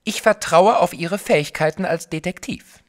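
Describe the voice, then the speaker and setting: A man's voice: high-pitched, moderate pace, natural voice. An actor playing an anxious, insistent wealthy man in a German audio drama, pleading his case. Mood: self-assured.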